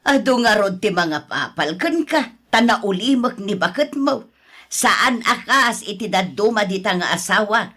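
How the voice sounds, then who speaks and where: An actress playing a frank relative who reasons and reproves in an Ilocano radio drama, with an Ilocano accent. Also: female voice, mid-range voice, lively intonation, measured pace, a bit bright. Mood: persuading.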